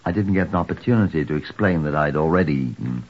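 Low-voiced, dry inspector. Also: male voice, lively intonation, quick pace, husky, heavily acted. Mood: dry, measured. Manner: dry, terse, formal when narrating. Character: dry, reserved male police inspector narrating his case. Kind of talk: old-time radio drama, first-person narration. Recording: dull, muffled old radio recording.

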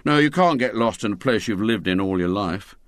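A man's voice: fairly low pitch, animated, brisk, dry recording, clean studio sound, natural voice. A man voicing a defiant, scheming schoolboy ringleader who talks bluntly and bossily. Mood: gloomy. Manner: bossy, blunt.

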